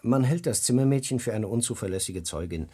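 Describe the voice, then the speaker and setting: An actor playing a knowing, matter-of-fact newspaper employee in a German radio crime drama. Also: male voice, mid-range voice, lively intonation, a little fast, mellow, breathy edge. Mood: knowing, dry.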